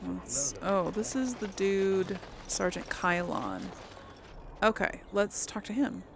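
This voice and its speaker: A woman's voice: medium pitch, big pitch swings, slow pace, gravelly, slight background noise. A woman giving casual let's play commentary, musing aloud and rambling to her viewers. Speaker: gaming video host.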